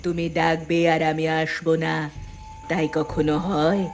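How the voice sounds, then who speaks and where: Drowned wife's ghost, eerie, demanding, deep and slow, cartoon style, soft music. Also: female voice, flat delivery. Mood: calm, commanding. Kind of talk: Bengali cartoon horror voice acting.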